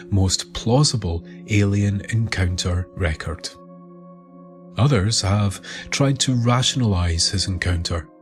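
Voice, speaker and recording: A man narrating a scripted documentary story in a measured, deliberate manner. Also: lowish voice. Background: music.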